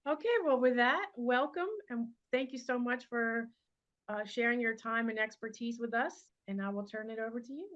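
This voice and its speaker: Woman, slightly high voice, webinar moderator, friendly housekeeping, dull lo-fi call audio. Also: normal speed, fairly bright tone. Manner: friendly, organized, some "uh" fillers. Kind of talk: hosting a webinar. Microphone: video call.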